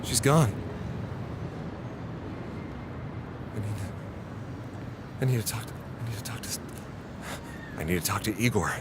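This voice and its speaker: An actor with an American accent playing an anguished, indignant reporter abroad in a radio drama, breathless and urgent. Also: male voice, lowish voice, animated, normal speed, noisy background, close to an everyday voice. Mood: shaken. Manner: breathless, talks to himself, urgent. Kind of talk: radio drama acting with first-person narration.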